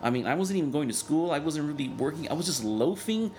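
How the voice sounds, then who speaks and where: A man in his thirties whose first language is Spanish, speaking as a guest in a candid interview, earnest and reflective, often halting and restarting. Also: on the high side, expressive, a little mellow, slight background noise. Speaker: guest, cartoonist and organizer.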